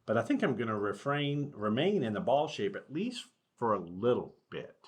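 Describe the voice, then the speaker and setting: A man voicing a nervous, forgetful female armadillo schoolteacher in a halting, sheepish storybook read-aloud. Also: mid-range voice, sing-song, natural voice.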